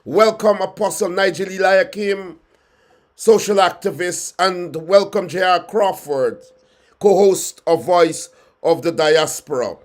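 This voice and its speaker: A man's voice: high voice, measured pace. A man hosting a studio talk show, formal in tone, often repeating phrases and restarting with fillers.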